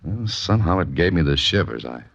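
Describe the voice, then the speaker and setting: An actor playing a laconic, wisecracking writer-adventurer with an American accent, narrating a 1940s radio mystery. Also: male voice, low voice, animated, a little fast, vocal fry, fairly bright tone, exaggerated voice. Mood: wry narrating. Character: dry, wry writer and amateur adventurer, male narrator. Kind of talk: 1940s radio mystery acting, hard-boiled first-person narration. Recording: muffled 1940s radio recording with music.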